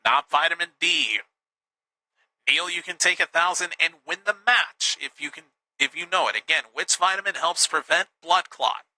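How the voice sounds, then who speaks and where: A man hosting a live game show with an upbeat, teasing manner, a little hoarse. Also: on the high side, normal speed, sharp tone, no room sound, low-quality recording. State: slightly hoarse.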